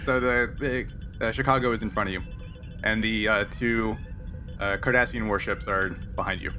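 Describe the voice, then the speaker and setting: A man narrating a tabletop game as game master, halting and thinking aloud as he improvises. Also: on the low side, steady tone, pushed and bright, faint music behind.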